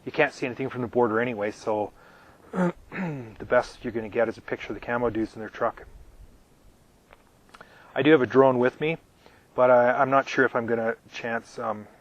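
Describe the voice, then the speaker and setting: A man talking live to his viewers from his camper out in the desert, his voice worn thin and his throat cleared again and again. He speaks at an ordinary middle pitch, halting through ums and repeated words as he answers questions. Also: fairly even intonation, low-quality recording. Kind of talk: live casual Q&A.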